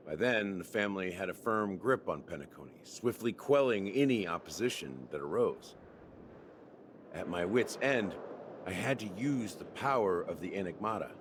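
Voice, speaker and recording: An actor playing a secretive man who solemnly lays bare the dark history of a dream world in a game cutscene. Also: lowish voice. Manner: measured, solemn explaining. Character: grave schemer revealing a dreamland's dark secret. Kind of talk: video game cutscene dialogue. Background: game music.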